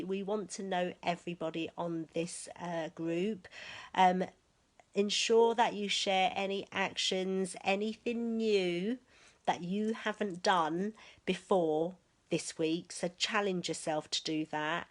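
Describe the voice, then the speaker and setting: Chatty woman leading a sales team. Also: fairly low pitch. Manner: chatty and encouraging, reads viewers' comments aloud. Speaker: sales team leader hosting a live stream. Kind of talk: casual live-stream chat.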